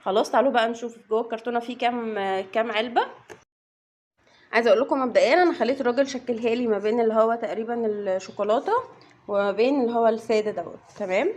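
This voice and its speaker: A woman's voice: fairly high pitch, very fast, a little mellow. A woman with an Egyptian accent chatting to viewers while unboxing snacks at home. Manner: chatty, addresses viewers directly. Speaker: vlogger mother. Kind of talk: casual unboxing vlog.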